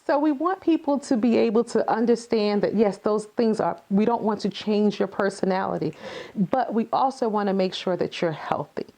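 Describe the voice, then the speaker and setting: A woman's voice: medium pitch, moderate pace, warm tone. A woman giving earnest, encouraging conversational answers as an interview guest, repeating phrases for emphasis. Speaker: playwright and activist guest.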